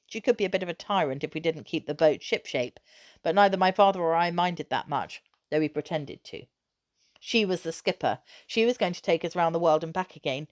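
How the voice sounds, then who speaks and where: A woman with a slightly low voice narrates a children's story. She reads expressively, in measured phrases with a storyteller's emphasis. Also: a little mellow. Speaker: teacher reading aloud.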